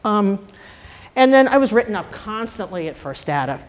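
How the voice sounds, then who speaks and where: A woman's voice: medium pitch, sing-song, normal speed, rough recording. An older woman from Boston with a light Boston accent, speaking as a panelist and telling her own career stories in a wry, frank, self-deprecating way. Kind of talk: candid personal talk.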